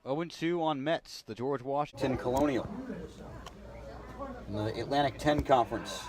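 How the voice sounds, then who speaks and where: A man's voice: medium pitch, normal speed, a crowd in the background. A man hosting a sports radio broadcast, doing pregame talk and play-by-play commentary.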